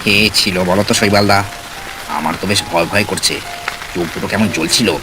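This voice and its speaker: Man acting timid village friend, low voice, hesitant, ghost cartoon, noisy rain. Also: fairly even intonation, brisk, lightly acted. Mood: frightened. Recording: clear rain noise over the voice.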